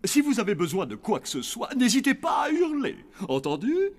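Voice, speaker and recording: A man's voice: extremely high pitch, huge pitch swings, normal speed, slight background noise, exaggerated voice. A man voicing a fussy, theatrical zombie father who runs a hotel.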